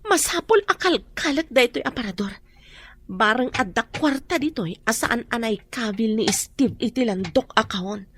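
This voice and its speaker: An actress playing a greedy, bossy wife in an Ilocano radio drama, with an Ilocano accent and a sharp, demanding delivery. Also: female voice, a little high, expressive, moderate pace, a little hiss or hum. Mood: searching. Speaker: scheming lady of the house.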